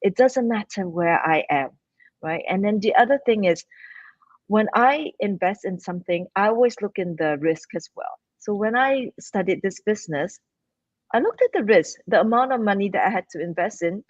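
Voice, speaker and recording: An upbeat, persuasive woman from Singapore talks in a live online interview. Her voice sits at an ordinary pitch, and the audio is dull, lo-fi and completely dry. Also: animated, normal speed, dry recording. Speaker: business promoter.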